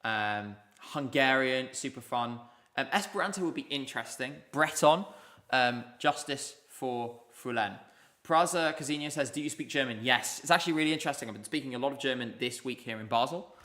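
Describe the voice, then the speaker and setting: Male stream host, bright forceful voice, loose chatty delivery answering viewer questions. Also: medium pitch, a little fast. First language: English. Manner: rambling, chatty, many ums. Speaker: livestream host. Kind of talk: casual live chat reading viewer comments. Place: indoors, streaming from Basel.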